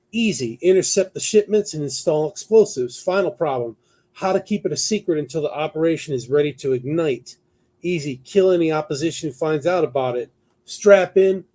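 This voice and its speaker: A man's voice: fairly high pitch, mellow. A man hosting a talk show, giving conversational news commentary partly read from notes, thinking aloud as he goes.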